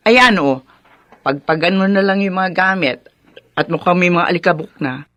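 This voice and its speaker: A woman's voice: lowish voice, moderate pace, a bit bright, no echo, dull audio, close to an everyday voice. Dry-sounding, earnest woman played by an actress. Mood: brisk, kindly. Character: Remedios, warm practical bride in a marriage of gratitude. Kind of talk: Tagalog radio drama acting.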